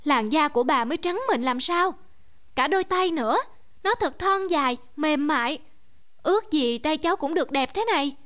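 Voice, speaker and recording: A woman's voice: expressive, normal speed. A woman voicing a young girl who envies others' looks, fretful and emotional in a cartoon story. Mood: admiring. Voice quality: high, bright girlish voice. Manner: fretful and emotional, gasping and crying out.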